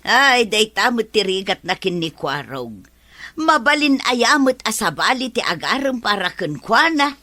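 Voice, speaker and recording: A woman's voice: on the high side, big pitch swings, unhurried, pushed and bright, heavily acted. A woman with an Ilocano accent playing a chatty, nosy village woman in a comedy drama. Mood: gossipy.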